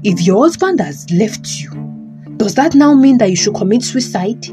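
A woman's voice: a little high, big pitch swings, moderate pace, over music. A woman playing a stern but caring older father in an audio drama, emphatic and admonishing, with a Nigerian accent.

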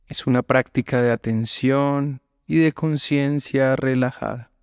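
A man guiding a relaxation meditation as a yoga instructor, giving calm, measured instructions with long pauses between phrases. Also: mid-range voice, smooth, dry recording, studio quality. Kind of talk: slow guided relaxation meditation.

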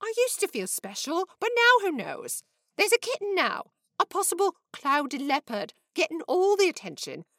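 A woman's voice: very high voice, very animated, moderate pace, sharp tone, no echo, studio quality, voice pushed up, heavily acted. A woman voicing a fretful, pampered female house cat who whines dramatically about being forgotten. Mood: self-pitying. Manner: dramatic, anxious whining.

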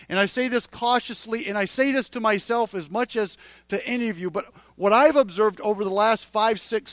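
A man in his forties giving a conversational sermon as a pastor, halting and restarting phrases as he speaks straight to his congregation. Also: high-pitched, a bit bright, dry recording. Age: 40s.

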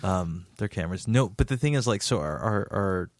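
Low-voiced young man, rambling chat. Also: expressive, moderate pace, no room sound, studio quality. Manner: rambling, many restarts and "like" fillers. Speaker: podcast guest. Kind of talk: casual podcast chat.

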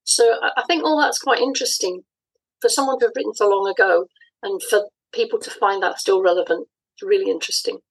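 A woman with a mid-pitched, slightly husky voice talks as a guest in an interview. She explains things conversationally and reflectively, measuring out her phrases with pauses between them. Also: low-quality recording. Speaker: interview guest, audio dramatist.

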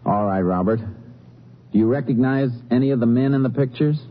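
An actor playing a calm, matter-of-fact Texas Ranger in a 1950s radio drama, questioning people in clipped, deadpan phrases. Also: male voice, medium pitch, a little fast, slight background noise, lightly acted. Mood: measured, probing. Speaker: lead actor and narrator. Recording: muffled vintage radio recording with music.

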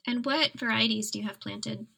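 A woman interviewing a guest in a relaxed chat, asking curious questions and giving short encouraging replies. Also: fairly high pitch, normal speed, vocal fry. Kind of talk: casual interview.

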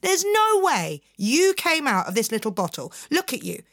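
A woman's voice: high-pitched, huge pitch swings, moderate pace, a bit bright, no echo, studio quality, voice pushed up, exaggerated voice. A woman voicing a cheeky fisherman who teases and taunts in mock disbelief. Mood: teasing. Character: cheeky, scheming fisherman, a man.

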